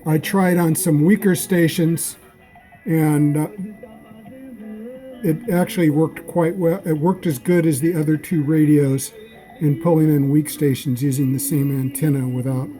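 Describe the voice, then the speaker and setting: A man giving a casual, measured demonstration as a radio hobbyist. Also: fairly high pitch, steady tone, dark tone, soft background music, rough recording. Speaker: amateur radio operator demonstrating receivers. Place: small indoor radio room.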